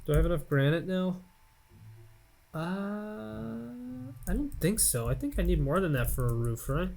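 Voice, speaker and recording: A man's voice: high-pitched, sing-song, measured pace, gravelly, darkish tone. An American man in his late twenties, rambling and self-mocking as he thinks aloud over gameplay commentary at his home computer. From United States. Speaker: Let's Play gamer.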